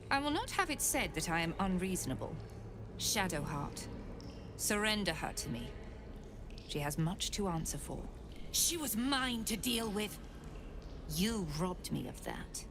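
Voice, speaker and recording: A woman's voice: mid-range voice, noisy background. Haughty, swooping female commander. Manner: haughty, formal, threatening. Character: imperious female cult commander, haughty, calculating, menacing. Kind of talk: dramatic video game acting.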